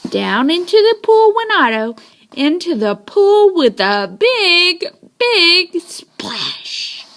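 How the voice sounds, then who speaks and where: A woman reading a children's storybook aloud in an expressive, dramatic way. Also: very high voice, takes it slowly. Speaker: storybook reader.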